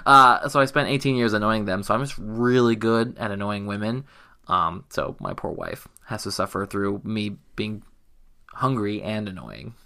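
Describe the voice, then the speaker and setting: A man's voice: on the low side, expressive, normal speed, vocal fry, bright, forceful tone. A man chatting casually as a podcast co-host, with a jokey, self-deprecating manner.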